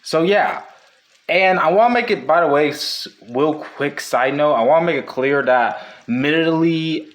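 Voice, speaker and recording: A man's voice: a little high, a little slow. A man hosting a casual, unscripted video essay, talking in a rambling way that often backtracks and corrects itself. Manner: rambling, self-correcting, hedging. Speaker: video host.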